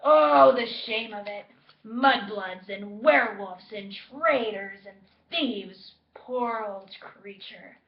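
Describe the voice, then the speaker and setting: Woman doing a hoarse old house elf. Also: echoey room, highs cut off. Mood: bitter muttering. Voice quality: hoarse, deep muttering. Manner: slow, grumbling, spiteful. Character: very old hunched male house elf, bitter, muttering.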